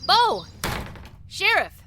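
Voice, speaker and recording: An actress playing a proud, secretive businesswoman who speaks briskly and tartly in a radio play. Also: extremely high pitch, wildly swooping pitch, over music, full character voice. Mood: assertive. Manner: brisk, tart and defensive. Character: sharp, proud woman business owner, secretive. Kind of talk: radio drama acting.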